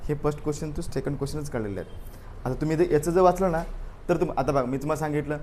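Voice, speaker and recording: A man's voice: fairly high pitch, fast, darkish tone. A man leads a classroom exam review. He drills the answers by saying them again and again and keeps questioning his students. Faint room noise sits behind his voice. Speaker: teacher.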